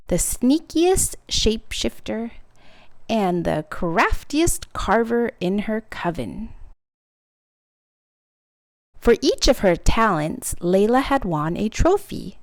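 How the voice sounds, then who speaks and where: A woman's voice: fairly high pitch, no echo. A woman reading a children's picture book aloud expressively, drawing out key words for dramatic emphasis. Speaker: storytime reader.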